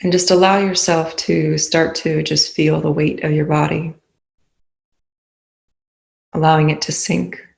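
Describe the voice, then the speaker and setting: A meditation guide leading a session in a soothing, unhurried manner with long pauses between phrases. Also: mid-range voice, flat delivery, vocal fry. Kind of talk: guided meditation, spoken slowly.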